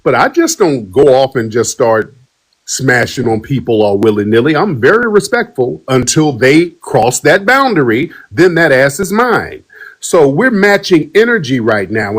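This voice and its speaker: A man's voice: mid-range voice, sing-song, moderate pace. A man hosting a call-in broadcast, talking bluntly and emphatically in a streetwise way. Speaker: broadcast host taking calls. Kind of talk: heated call-in talk.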